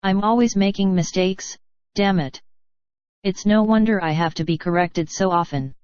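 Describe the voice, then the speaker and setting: A woman's synthetic text-to-speech voice reading novel narration with a measured, slightly stilted cadence. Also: medium pitch, darkish tone, clear, resonant tone, dry recording, highs cut off. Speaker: audiobook narrator.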